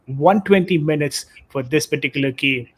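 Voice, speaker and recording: Guy hosting a footy livestream, kinda high voice, lots of uh and um while he reads the chat. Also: moderate pace, slightly rough. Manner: reads chat messages aloud, hesitant with fillers. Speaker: live stream host. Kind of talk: casual live stream chat.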